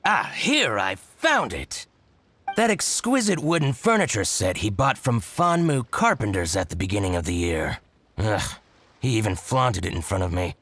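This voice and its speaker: Wildly animated, scheming shopkeeper. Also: male voice, lowish voice, normal speed, no echo, exaggerated voice. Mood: gloating. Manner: exaggerated, grumbling, gloating. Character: scheming, envious male shopkeeper, theatrical and excitable. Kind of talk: video game voice acting.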